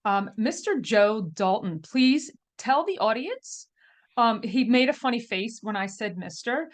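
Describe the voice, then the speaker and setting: A woman's voice: a little high, lively intonation, a little slow. A woman hosting a podcast, welcoming her guest and asking questions in an enthusiastic, affirming way. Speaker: podcast host. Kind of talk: podcast interview.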